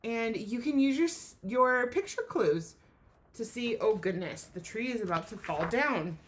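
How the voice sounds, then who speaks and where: A woman teacher giving an expressive children's read-aloud lesson, pausing to ask questions and explain. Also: fairly high pitch.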